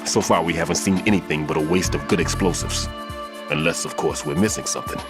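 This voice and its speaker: An actor voicing a grave, burdened veteran bomb disposal man in a video game, measured and ominous. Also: rumbling low, over music. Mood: grave, suspicious.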